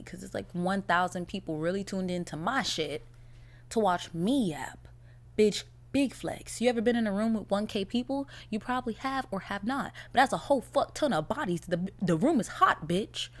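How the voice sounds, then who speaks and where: A young woman hosting her own podcast, chatting casually in a blunt, profane, animated way, her voice a little raspy from a cold. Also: medium pitch, moderate pace. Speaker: podcast host. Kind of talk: casual solo podcast chat.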